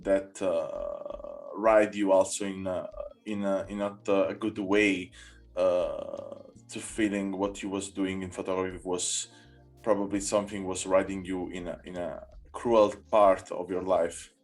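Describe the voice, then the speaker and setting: A man interviewing a guest in a casual conversation, halting and searching for his words in English. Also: low-pitched, soft background music.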